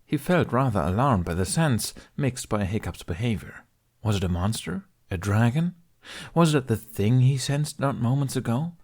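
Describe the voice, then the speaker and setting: A man's voice: medium pitch, animated, moderate pace, darkish tone. A man reading scripted story narration as an audiobook narrator.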